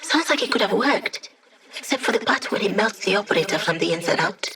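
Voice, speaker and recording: A woman's voice: medium pitch, animated, a little fast, bright, forceful tone, far from the mic. Sassy young female hacker. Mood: sardonic. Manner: wry, teasing, sarcastic. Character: sassy young female hacker, digital projection, playful and cocky. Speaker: video game voice actress. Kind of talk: video game character voice lines.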